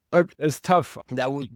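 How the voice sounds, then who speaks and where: Guest: man, mid pitch, dry close sound, thoughtful, stops and restarts. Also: no room sound. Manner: reflective, halting with frequent restarts. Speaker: guest, founder of a literature platform. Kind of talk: casual interview conversation.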